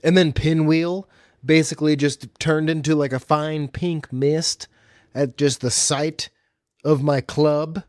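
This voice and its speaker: A man's voice: fairly high pitch, fairly even intonation, takes it slowly, darkish tone, dry recording, clean studio sound. A man hosting a solo podcast, chatting casually and informally about films and games in slangy, hesitant phrases. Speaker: podcast host.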